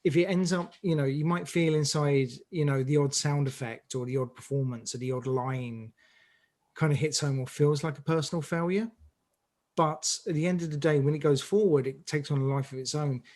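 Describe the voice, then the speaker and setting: A man's voice: fairly high pitch, moderate pace, darkish tone. A man giving rambling, emphatic advice in a conversational interview as a director. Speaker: audio drama director on an interview panel.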